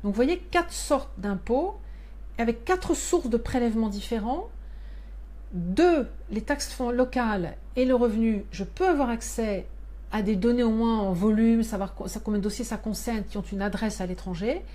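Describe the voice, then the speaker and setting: A woman in her fifties speaks straight into a desk camera from a small office lined with binders. She explains earnestly and patiently, gesturing as she goes, in a voice that sits slightly high. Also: moderate pace, mellow. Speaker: member of parliament addressing constituents abroad. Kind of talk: live-streamed monthly address. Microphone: webcam or phone at desk.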